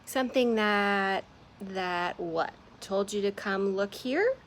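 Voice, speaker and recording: A woman's voice: mid-range voice, slow, slight background noise, close to an everyday voice. A woman voicing an anxious, persistent teenage girl. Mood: pressing, shaky. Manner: pressing, shaky.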